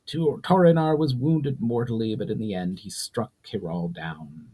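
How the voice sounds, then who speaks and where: A man voicing an ancient, weary sorceress in a storybook reading, speaking slowly and solemnly with deep sorrow. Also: mellow, husky. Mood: grave, pained. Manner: slow, pained, solemn speech.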